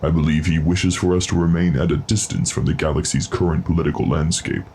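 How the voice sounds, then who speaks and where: A grave alien craftsman with an extremely deep, gravel-edged voice. He is dark in tone, courteous and formal, choosing each word with care as in a scripted audio drama. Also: steady tone, slight background noise. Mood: solemn, reflective. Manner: formal, measured, contraction-free speech. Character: grave, courteous male alien artisan armorer of an isolated people.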